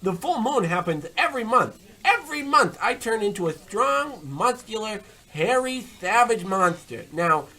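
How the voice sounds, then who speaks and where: A man playing a cocky, boastful diner chef and war veteran in a comedic radio play, brash and quick to butt in. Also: high voice, bright, forceful tone. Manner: brash, bragging, interrupting, calls people pal. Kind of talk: comedic old-time radio play acting.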